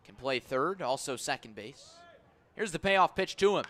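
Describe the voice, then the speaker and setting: Guy doing baseball radio play-by-play, bright punchy voice, measured descriptive calls. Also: on the high side, expressive. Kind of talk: radio sports commentary.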